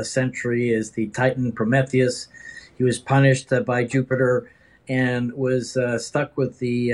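A man from the Netherlands answering interview questions as a doctor, conversational, with hesitant, halting phrasing. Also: mid-range voice, darkish tone. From Netherlands, raised in several countries. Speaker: interview guest, regenerative medicine doctor.

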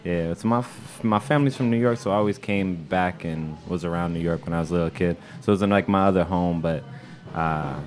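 A man's voice: low voice, fairly quick. A man in his thirties, an interview guest who speaks earnestly and reflectively. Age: 30s. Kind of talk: conversational interview.

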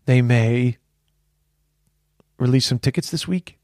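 A man's voice: mid-range voice, takes it slowly, darkish tone, no echo, clean studio sound. A man in his forties with an American accent hosting a podcast in casual chat, rambling with frequent restarts. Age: 40s. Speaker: podcast host.

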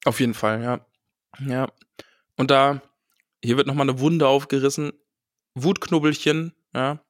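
A man's voice: mid-range voice, steady tone, unhurried, no echo, studio quality. Nasal man with a cold, joking. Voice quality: slightly nasal. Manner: laughs often, playful banter. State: has a cold, stuffy nose, sore throat. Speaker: podcast co-host. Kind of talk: casual podcast chat, reading listener messages.

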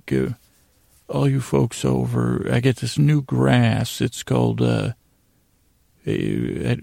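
Man, thick vocal fry, soft mellow tone, stammering rambling bedtime host, close dry recording. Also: mid-range voice, no room sound. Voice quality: gravelly, creaky. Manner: meandering, halting, stammering, repeating words. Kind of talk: rambling improvised bedtime monologue, acting out imagined conversations.

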